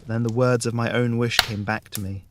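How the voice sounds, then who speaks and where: A man reads a fairy tale as its narrator, at a middle pitch. His delivery is measured storytelling with pauses for drama. Faint noise sits behind the voice. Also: flat delivery. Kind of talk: scripted first-person fairy tale narration.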